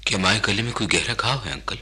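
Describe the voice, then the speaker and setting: An actor playing a dutiful, resigned young husband and son in a radio drama. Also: male voice, on the low side, fast, pushed and bright, husky, exaggerated voice. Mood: respectful.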